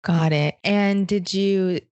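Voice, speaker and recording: A woman's voice: mid-range voice, steady tone, slow, darkish tone, clean tone. A woman hosting a podcast, reading a scripted introduction and then interviewing her guest in an upbeat, eager manner. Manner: upbeat, eager, frequent "awesome". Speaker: podcast host.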